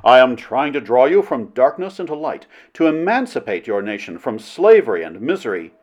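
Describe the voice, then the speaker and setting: A man's voice: mid-range voice, sing-song, moderate pace. A man voicing a bold, cunning adventurer in an old romance audiobook, impassioned and formal in speech. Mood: enthusiastic, persuasive. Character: bold, cunning adventurer hero wooing a princess.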